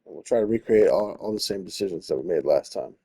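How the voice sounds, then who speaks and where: A man's voice: low voice, lively intonation, fast, dark tone, slightly rough. A man hosting a gaming video, thinking aloud informally and reading the story text out as he plays. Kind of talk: casual game commentary mixed with read-aloud narration.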